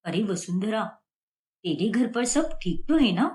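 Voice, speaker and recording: A woman's voice: medium pitch, expressive, measured pace, a little mellow, pitched below the performer's own voice, close to an everyday voice. A woman voicing a middle-aged neighbor aunty in a Hindi cartoon story, inquisitive and gossipy. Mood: prying.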